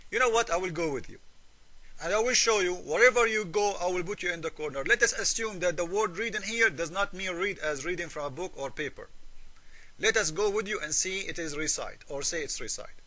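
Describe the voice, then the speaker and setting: A man's voice: high-pitched, fairly quick, sharp tone. A man whose first language is Arabic, giving combative voice-over commentary in broken English, mocking and repeating his points. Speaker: video commentator. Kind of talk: argumentative voice-over commentary over screen captures.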